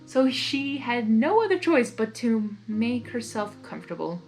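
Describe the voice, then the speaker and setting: A woman's voice: on the high side, sing-song, a little slow, a little mellow, faint music behind. A woman narrating a story expressively, in the manner of an amateur reader who reacts as she goes. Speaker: fan fiction reader.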